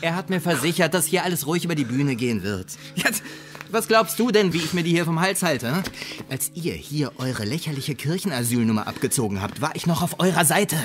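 An actor in a radio crime drama playing a self-serving priest, insistent and reproachful. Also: male voice, on the high side, expressive, fairly quick, soft background music, natural voice. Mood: indignant insistence. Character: indignant, self-serving male priest, firm and preachy.

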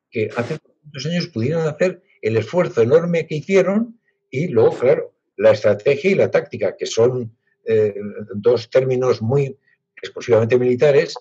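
A man's voice: medium pitch, mellow, breathy edge. A Spanish man in his sixties, a guest author, speaking reflectively with many restarts over a video call from his home library. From Spain, Basque Country. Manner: reflective, halting with frequent restarts. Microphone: laptop webcam on video call. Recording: dull lo-fi video call audio.

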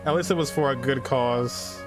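A man's voice: medium pitch, normal speed. A young man in his twenties casually commenting as he reacts to a game, informal and emotionally invested. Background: game music.

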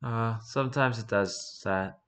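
A man's voice: medium pitch, measured pace, noisy background. A man reading aloud casually with wry offhand asides, sometimes stumbling over the words as he goes. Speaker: streamer reading and reacting to wiki pages.

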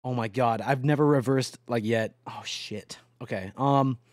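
A man's voice: medium pitch, a little slow, no room sound, clean studio sound. A young man streams a truck driving game and talks to his chat casually. He swears often and breaks into yelps and laughs. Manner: addresses chat directly.

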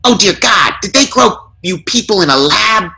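Storybook burglar, male narrator acting: aggressive, indignant, loud, voice pushed up high. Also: expressive, normal speed, gravelly, exaggerated voice. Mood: exasperated. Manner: yelling, indignant outbursts.

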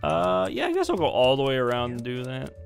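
A man's voice: medium pitch, brisk, vocal fry, faint music behind. A man chatting and joking with friends while playing an online social deduction game. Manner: joking, teasing, lively. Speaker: gaming streamer. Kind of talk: casual multiplayer game chat.

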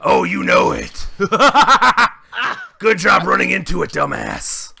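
A man voicing a hulking, grudge-holding male warrior in a gravelly, husky, roaring voice during fan gameplay voice acting. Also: on the high side, wildly swooping pitch, moderate pace, sharp tone, full character voice. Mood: gloating.

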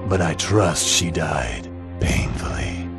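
An actor playing a sadistic, arrogant vampire overlord in a video game, pompous, sneering and menacing. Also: male voice, rumbling low, lively intonation, slow, gravelly, heavily acted. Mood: gloating, contemptuous. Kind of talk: video game villain acting. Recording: game audio under loud music.

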